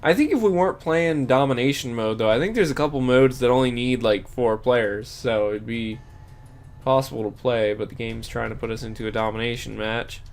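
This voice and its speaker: A man's voice: medium pitch, fairly quick. A young man chatting casually while streaming a game, stuffy-nosed and sniffling, rambling with many fillers. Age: young adult. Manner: rambling, lots of fillers and self-corrections. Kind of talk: casual gameplay stream chat.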